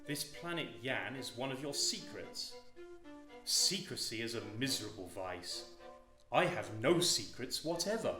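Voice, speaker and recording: A man's voice: mid-range voice, big pitch swings, measured pace, sharp tone. An actor playing an upright, stern man demanding candour in an operatic radio drama, declaiming his words. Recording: echoey, faint music behind.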